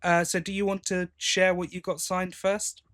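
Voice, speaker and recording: A British man with a voice pitched a little high for a male speaker hosts a casual podcast. He is chatty and rambling, often breaking off mid-thought to correct himself or take up another. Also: little pitch movement, moderate pace, fairly bright tone. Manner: chatty, rambling, self-interrupting. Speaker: podcast host. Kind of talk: casual chat.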